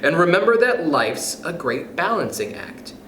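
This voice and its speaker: A fairly high-voiced man reads a children's picture book aloud slowly and deliberately, his pitch swinging widely as he plays with each line. He chuckles between passages. The room around him sounds echoey. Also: big pitch swings, fairly bright tone, a little hiss or hum. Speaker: youth club storyteller.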